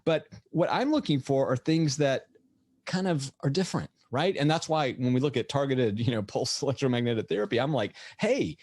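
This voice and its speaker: A middle-aged man with a slightly raspy, lecture-tired voice, giving an energetic webinar presentation full of rhetorical questions. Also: mid-range voice, fairly quick, dry recording. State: voice tired from lecturing all morning. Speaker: veterinarian presenter.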